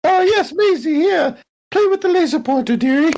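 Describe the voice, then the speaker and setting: Woman playing a high-pitched, lively, bossy mechanic in a tabletop game; brisk with her orders. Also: a little hiss or hum.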